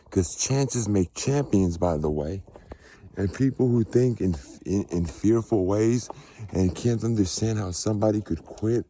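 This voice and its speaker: An American man giving a casual motivational testimony, husky and emphatic, repeating phrases in a preachy way for weight. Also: lowish voice, mellow, a little hiss or hum. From United States. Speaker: spiritual vlogger.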